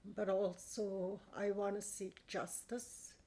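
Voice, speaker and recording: A woman, a residential school survivor, speaking earnestly in a slow, halting personal testimony. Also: medium pitch, level tone, warm tone.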